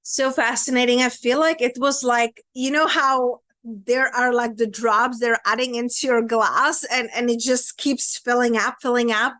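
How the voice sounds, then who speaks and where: A woman's voice: fairly high pitch, fairly even intonation, unhurried, fairly bright tone, no echo. A woman in her thirties with a Czech accent, sharing her personal story earnestly and reflectively as an interview guest. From Czech Republic. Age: 30s. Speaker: podcast guest, women's empowerment coach.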